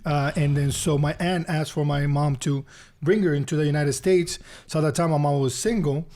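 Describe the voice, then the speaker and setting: Man, 30s, podcast guest, earnest storytelling, repeats phrases, slightly high voice. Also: fairly even intonation, moderate pace, a little mellow. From Honduras. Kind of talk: casual storytelling interview.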